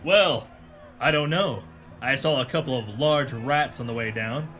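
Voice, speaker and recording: A man playing a hardboiled, self-serious pulp detective hero, narrating deadpan in a comic audio drama. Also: medium pitch, measured pace, a little hiss or hum, natural voice. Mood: deadpan tough. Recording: echoey hall reverb, music behind.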